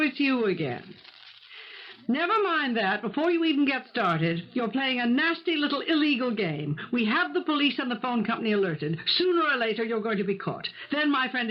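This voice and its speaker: An actress playing a practical, no-nonsense housekeeper in an old-time radio drama, speaking firmly and crisply, as if giving a lecture. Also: female voice, a little high. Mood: scolding. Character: brisk, bossy, no-nonsense housekeeper, steady and practical. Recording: muffled 1970s radio broadcast.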